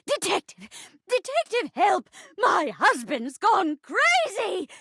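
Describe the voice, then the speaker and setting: A woman voicing a theatrical old woman who is a living chair in a video game, with stagey gasps and hushed asides. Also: wildly swooping pitch, slow pace, no echo, studio quality, exaggerated voice. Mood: frantic, distraught. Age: older. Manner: stagey, gasping, swooping from hushed asides to high cries. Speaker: datable game character. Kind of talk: video game voice acting, murder-mystery melodrama.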